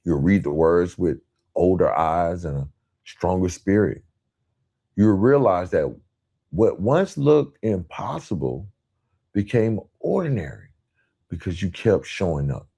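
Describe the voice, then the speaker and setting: A man's voice: low voice, warm tone. A man hosting a motivational podcast, speaking in an earnest, emphatic monologue built on parallel phrases. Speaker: podcast host.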